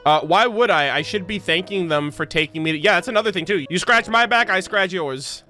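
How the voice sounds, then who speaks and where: A man giving casual, jokey, slangy commentary as he streams a video game playthrough, with an excitable manner. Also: high-pitched, fairly quick. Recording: game music behind voice. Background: video game music.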